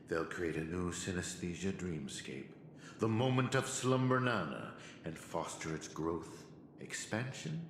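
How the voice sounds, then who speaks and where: An actor playing a scheming, condescending professor villain who lectures in a theatrical way. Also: male voice, on the low side, fairly bright tone, slight background noise. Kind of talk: video game voice acting. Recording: roomy game audio with music.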